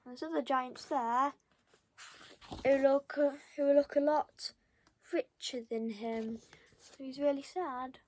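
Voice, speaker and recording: A young child reading a storybook aloud, stopping for halting, chatty asides to the listeners. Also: high-pitched, fairly even intonation. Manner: halting, commenting on pictures to listeners. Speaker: storybook reader.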